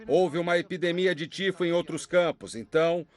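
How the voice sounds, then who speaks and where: A man's voice: fairly high pitch, fairly even intonation, a little fast, soft background music, lightly acted. A man playing a cold, menacing camp officer. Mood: falsely polite. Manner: smooth false courtesy turning to barked orders. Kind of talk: dubbed documentary reenactment.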